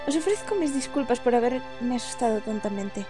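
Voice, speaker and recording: A woman's voice: fairly high pitch, animated, normal speed, mellow, faint music behind, lightly acted. A woman from voicing a courtly, formal young princess from a video game. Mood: polite.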